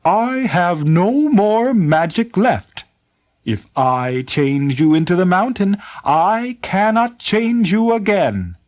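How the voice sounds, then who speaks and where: A man voicing a solemn spirit of a mountain in a storybook tale, speaking in a drawn-out, deliberate way. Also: sits high, very animated, muffled recording, pitched above the performer's own voice, exaggerated voice. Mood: solemn, warning. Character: slow, solemn mountain spirit granting wishes.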